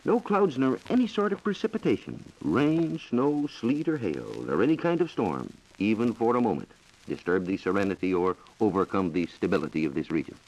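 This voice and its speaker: A man's voice: fairly low pitch, big pitch swings, normal speed, darkish tone, low-quality recording. A man explaining science from a script on a 1940s radio program, formal, precise and authoritative, heard on a vintage broadcast recording. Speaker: science reporter answering listener questions.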